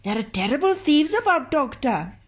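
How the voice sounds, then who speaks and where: A woman's voice: high-pitched, sing-song, normal speed, voice pushed up. Woman playing a moaning, whiny thief. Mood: fearful. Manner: moaning, pleading. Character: whiny, frightened male thief.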